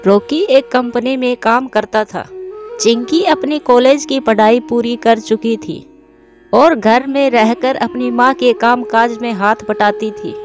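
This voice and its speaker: Woman reading a kids story, voice a bit high, bg music. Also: measured pace. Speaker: narrator. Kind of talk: scripted children's story narration.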